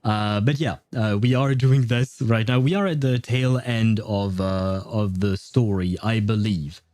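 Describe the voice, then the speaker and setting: A man livestreaming a video game, chatting casually with viewers in a rambling, halting way. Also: fairly low pitch, darkish tone, no echo. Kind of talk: casual livestream chat and commentary.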